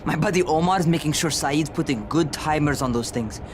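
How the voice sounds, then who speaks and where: Man playing an eager, high-voiced young rebel. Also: normal speed, background noise, lightly acted. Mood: excited. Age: young adult. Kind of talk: video game voice acting.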